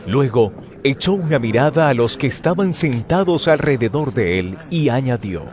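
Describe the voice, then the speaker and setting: A man's voice: mid-range voice, animated, moderate pace, darkish tone. A man reading scripted narration for a dramatized audio Bible. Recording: noisy outdoor ambience effects behind. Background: outdoor sound effects.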